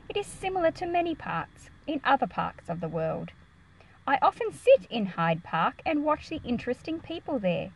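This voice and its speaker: A woman's voice: on the high side, slight background noise, low-quality recording. A woman reading a picture book aloud and explaining it to children in a careful, teacherly way. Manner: careful, explanatory, enunciated for young listeners.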